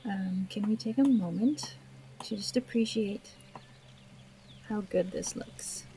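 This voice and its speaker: A woman's voice: medium pitch, slow, warm tone. A woman hosting a gaming video with casual, chatty, informal commentary. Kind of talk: casual let's play commentary. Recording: faint background noise, game ambience.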